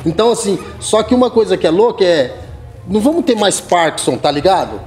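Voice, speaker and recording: A man's voice: high-pitched, lively intonation, a little fast, darkish tone. A man guest-rapping on a panel chat, slangy and loose, with many restarts and "tá ligado". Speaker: guest rapper. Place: community center room, seated on sofa with panel.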